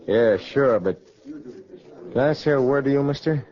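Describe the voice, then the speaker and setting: An actor playing a wary veteran convict in a 1940s radio drama, slangy and insistent, passing on confidential warnings. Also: male voice, mid-range voice, a little slow, lightly acted. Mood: urgent, confiding. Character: wary veteran male convict, prison grapevine informer. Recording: dull 1940s radio recording.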